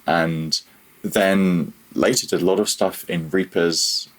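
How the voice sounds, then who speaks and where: Very deep-voiced, husky man chatting. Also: steady tone, slow. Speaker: podcast guest, freelance audio programmer. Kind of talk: casual interview chat.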